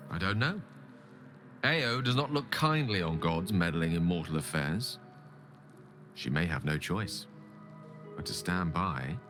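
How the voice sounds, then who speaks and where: Ambitious fantasy game wizard, animated swooping delivery, eloquent, earnest, embittered, theatrical, music underneath. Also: male voice, lowish voice. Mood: subdued, reflective. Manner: articulate, theatrical, earnest, formal phrasing. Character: ambitious, eloquent, embittered male wizard pleading his case. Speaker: video game companion character. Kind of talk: dramatic game dialogue acting. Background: background music.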